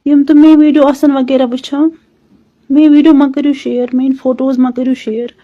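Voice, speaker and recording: Muffled high-voiced Kashmiri woman, pleading. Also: little pitch movement, normal speed. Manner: pleading, repeating requests. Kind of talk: personal appeal in a recorded message. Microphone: phone. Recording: muffled lo-fi phone recording.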